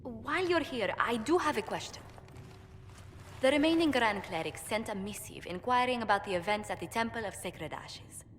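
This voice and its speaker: An actress playing a tactful, courteous diplomat in a video game, speaking carefully and formally. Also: female voice, fairly high pitch, animated, moderate pace, lightly acted. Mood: polite, businesslike. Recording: reverberant hall, faint background noise.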